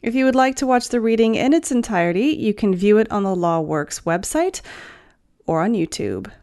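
A woman's voice: medium pitch, expressive, normal speed, darkish tone. A woman hosting a podcast, reading scripted entertainment news. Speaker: podcast host and newsreader.

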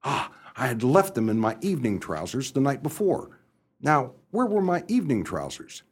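An actor playing a flustered upper-class young English gentleman in a radio play, hesitant and bumbling, narrating his own scrapes in old-fashioned slang. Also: medium pitch, huge pitch swings, moderate pace, slightly rough. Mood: wry, exasperated. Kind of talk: radio play storytelling and dialogue.

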